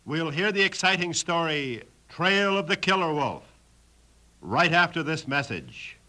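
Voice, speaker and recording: A man's voice: on the high side, big pitch swings, unhurried, bright, forceful tone, rough recording. A man with an American accent announcing an old-time radio show in a hearty, showy way. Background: music.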